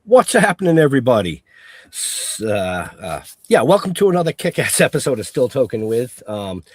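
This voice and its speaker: A man's voice: medium pitch, big pitch swings, moderate pace, husky, no echo, clean studio sound. A man hosting a casual online talk show, opening with hype and laughing often between lines. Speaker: podcast host. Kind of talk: casual chat.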